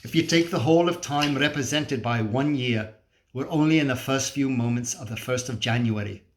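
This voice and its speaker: A man's voice: medium pitch, moderate pace, natural voice. A man performing a dramatic monologue as a cynical, feverish, scornful apocalyptic drifter, ranting emphatically and preachily with swearing and rhetorical questions. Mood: fervent.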